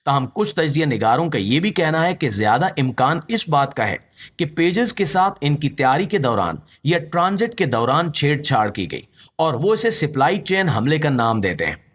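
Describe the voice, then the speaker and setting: A man's voice: a little high, sing-song, moderate pace. A man reading a scripted news explainer in a formal manner, addressing viewers directly. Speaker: news explainer video narrator.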